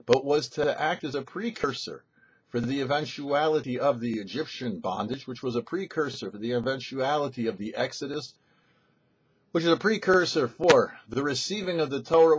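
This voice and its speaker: Rabbi teaching Torah in lively tones. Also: male voice, mid-range voice, breathy edge, highs cut off. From United States. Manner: thoughtful explanatory teaching with pauses. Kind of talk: weekly Torah commentary. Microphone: studio mic.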